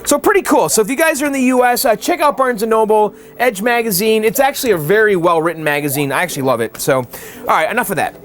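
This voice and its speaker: A man's voice: high voice, fast talker. A man hosting a casual show, answering viewer mail in a chatty way and often checking in with "okay?". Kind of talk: casual chat.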